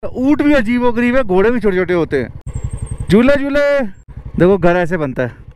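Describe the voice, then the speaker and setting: A young man casually narrating a travel vlog outdoors while riding a motorbike, chatty and excited. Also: high-pitched, measured pace, a little hiss or hum. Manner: chatty and excited, keeps saying "yaar". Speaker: travel vlogger. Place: outdoors, riding a motorbike through a mountain valley.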